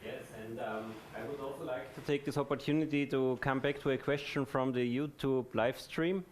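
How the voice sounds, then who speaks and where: A man's voice: mid-range voice, fairly even intonation, moderate pace, slight background noise. A man presenting in a live technical talk, explaining things methodically.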